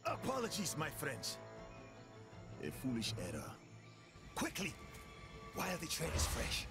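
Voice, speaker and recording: A man's voice: fairly high pitch, huge pitch swings, unhurried, loud music behind the voice, exaggerated voice. An actor playing a stern, noble male warrior king who speaks formally. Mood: commanding. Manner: formal, commanding.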